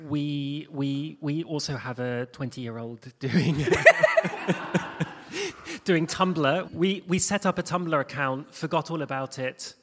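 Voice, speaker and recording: A man's voice: fairly high pitch, a crowd in the background. Hesitant man in a reverberant hall. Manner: hesitant, halting, restarts often. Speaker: panel guest, audio drama producer. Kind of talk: informal spoken anecdote on a panel. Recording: echoey hall with long reverb.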